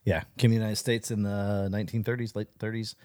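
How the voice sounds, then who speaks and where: A man's voice: fairly low pitch, little pitch movement, quick pace, a little mellow, no echo, clean studio sound. A man co-hosting a podcast, introducing a clip in a loose, rambling way with fillers. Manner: rambling, many fillers and restarts. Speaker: podcast co-host. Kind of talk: casual podcast chat.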